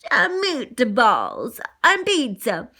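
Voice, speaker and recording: A jolly restaurant owner with a thick put-on Italian accent and a hearty, sing-song delivery, played by a woman storyteller. He talks slow and warmly welcoming. A storybook character. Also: on the high side, wildly swooping pitch, exaggerated voice.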